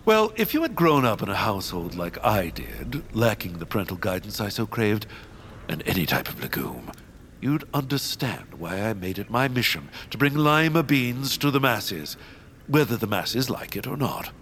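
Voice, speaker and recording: An actor playing a greedy, pompous land baron villain who boasts in a self-satisfied, theatrical way in an audio comedy. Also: male voice, lowish voice, very animated, moderate pace, a bit bright, slight background noise. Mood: grandiose brooding. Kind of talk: audio fiction comedy acting with show-tune singing.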